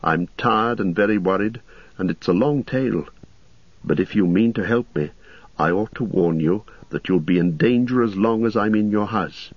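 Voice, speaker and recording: A man's voice: fairly low pitch, moderate pace, no room sound, dull audio, lightly acted. A man voicing a polite, anxious young traveller who speaks earnestly and plainly.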